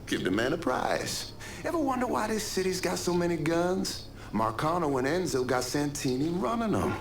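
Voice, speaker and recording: An actor playing a cheeky, chatty small-time crook in a video game cutscene, slangy and joking. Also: male voice, on the high side, sing-song, normal speed. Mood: cocky, amused. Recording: roomy echo, faint background noise.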